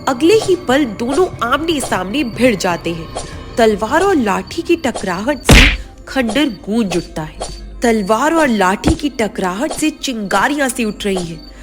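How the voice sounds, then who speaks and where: A woman storyteller giving dramatic scripted narration of an animated tale. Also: fairly high pitch, a little slow, over music.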